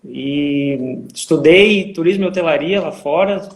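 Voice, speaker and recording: Casting: man, light high voice, steady explainer, echoey video-call room. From Florianópolis, southern Brazil. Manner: measured, explains with many fillers. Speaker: guest, vacation rental manager. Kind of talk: casual live interview.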